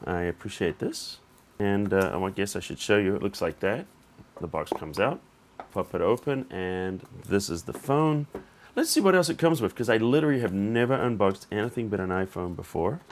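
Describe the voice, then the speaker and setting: A man with a slightly hoarse voice hosting a casual unboxing vlog, thinking aloud in an informal, conversational way. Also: fairly low pitch, expressive, normal speed, slight background noise. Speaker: tech reviewer and channel host.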